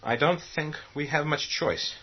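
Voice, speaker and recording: A man's voice: fairly high pitch, unhurried, husky. An actor playing a plain-spoken, helpful young local man, earnest and matter-of-fact, in a horror radio play. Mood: earnest, uneasy. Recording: dull, muffled audio.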